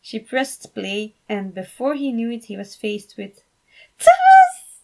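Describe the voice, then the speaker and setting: Woman, story narrator, medium pitch, noticeably creaky voice, scripted amateur reading. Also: a little slow. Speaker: fan fiction writer and narrator.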